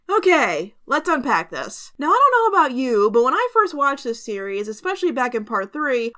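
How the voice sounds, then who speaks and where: High, bright-voiced woman reviewer. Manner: opinionated, conversational, emphatic delivery. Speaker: review show host. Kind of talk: scripted review narration with casual asides.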